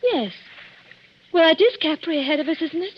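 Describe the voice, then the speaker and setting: A young bride in a 1940s radio play, with a very high, earnest, expressive voice. She is happy yet uneasy, and the old recording is muffled with faint noise. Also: moderate pace. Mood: curious. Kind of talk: 1940s radio drama acting.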